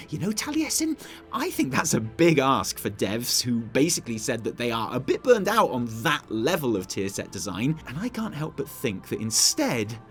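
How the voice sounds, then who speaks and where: A man co-hosting a scripted gaming news show, wry and playful, questioning himself as he goes. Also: fairly high pitch. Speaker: co-host.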